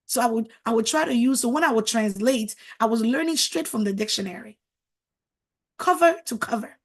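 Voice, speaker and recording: A middle-aged woman coach whose first language is French speaks in a fairly high voice. She lectures in an emphatic, preachy way, pressing each point home and repeating words for stress. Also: a little fast. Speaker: marriage coach. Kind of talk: motivational talk to camera.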